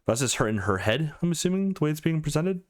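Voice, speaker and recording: A man's voice: fairly high pitch, sing-song, fast. A man reading a visual novel aloud for a let's play, mixing dramatic narration with casual commentary.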